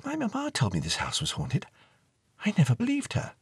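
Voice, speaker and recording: An excitable young woman with a bright, bouncy lilt, as a male narrator puts her on. The voice is a little husky and recorded close and dry. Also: high-pitched, fairly quick, no room sound, voice pushed up, heavily acted. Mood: earnest. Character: young woman, heartbroken then perky.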